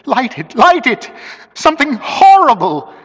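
Panicked man scared to death of the dark, voice way up high and cracking, begging over and over, big echoey reverb. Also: wildly swooping pitch, normal speed, full character voice. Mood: frightened, pleading. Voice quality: chattering, breaking voice. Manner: pleading, repeating himself frantically. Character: terror-stricken man dying of fear in the dark.

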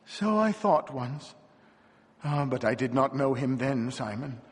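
Man acting out a toil-worn peasant wife, weary, plaintive, lamenting, husky, storybook drama, long hall reverb. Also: medium pitch, lively intonation, a little slow. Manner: lamenting, dramatic, sighing old-fashioned speech.

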